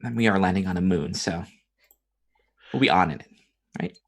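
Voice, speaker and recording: A woman's voice: a bass voice, normal speed, breathy edge. A woman talking casually as a player in a tabletop roleplaying game.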